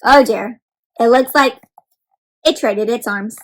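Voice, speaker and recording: A woman doing an animated, interactive picture book read-aloud for children, full of questions to the listeners. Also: a little high, takes it slowly.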